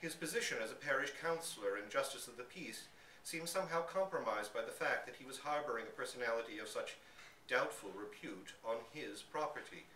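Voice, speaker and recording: Man, live short-story reading, animated intonation, roomy echo, distant mic, background noise. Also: medium pitch, fairly quick. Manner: expressive, literary storytelling. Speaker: story reader.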